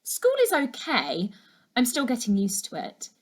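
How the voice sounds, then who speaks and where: A woman's voice: a little high, huge pitch swings, measured pace, pitched above the performer's own voice, heavily acted. A woman voicing a polite, curious eleven-year-old schoolgirl in a children's story, earnest and a little guarded. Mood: loyal, upbeat.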